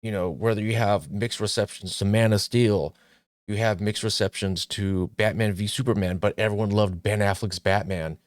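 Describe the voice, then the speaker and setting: A man's voice: lowish voice, fairly even intonation, normal speed, dry recording, clean studio sound. A man hosting his own movie talk show, chatting casually with jokes and plenty of restarts. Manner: joking, rambling, frequent restarts and fillers.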